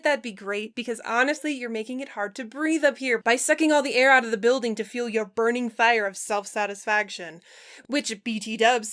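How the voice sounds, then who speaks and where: Woman as high, rambling lovestruck troll boy. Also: a bit bright, close to an everyday voice. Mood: irritated. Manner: blurting, run-on, slangy. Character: rambling, self-deprecating, lovestruck young male alien troll.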